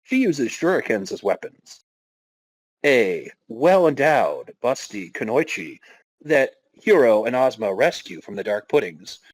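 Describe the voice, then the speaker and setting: A man's voice: mid-range voice, very animated, a little slow, dry recording, poor audio. A man hosting a podcast, giving a dramatic reading with casual, wry asides. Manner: wry, self-interrupting commentary. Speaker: podcast host.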